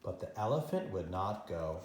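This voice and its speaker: A man reading a children's story aloud as a teacher, expressively and with dramatic pauses. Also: sits low, sing-song, darkish tone, roomy sound. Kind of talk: animated read-aloud storytime narration.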